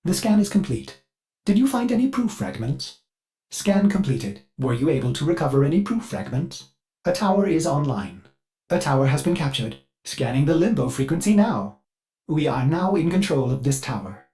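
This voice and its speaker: A man's voice: fairly high pitch, very animated, normal speed, warm tone. A man voicing a fussy, eager ship artificial intelligence in a video game, polite and theatrical, with a manic edge under the courtesy. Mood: dutiful, reporting. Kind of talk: scripted video game character dialogue.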